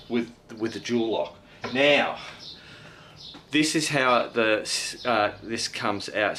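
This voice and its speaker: A man's voice: lowish voice, background noise. An Australian man giving a casual hands-on product review in a blokey, slangy, mildly sweary way. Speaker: product review video host.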